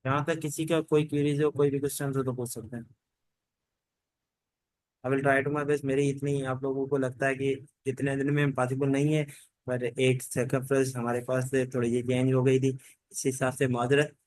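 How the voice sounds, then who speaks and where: Man lecturing, swooping expressive pitch. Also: normal speed, dry recording, rough recording. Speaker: teacher giving an online law class. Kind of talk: informal lecture mixing Hindi-Urdu and English legal terms.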